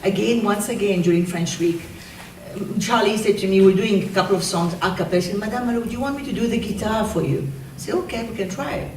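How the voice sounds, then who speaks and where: A woman's voice: medium pitch, fast. Female teacher presenting a school award, heartfelt; distant mic, echoey hall, noisy. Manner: warm, emotional praise of a student. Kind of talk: award speech at a school assembly.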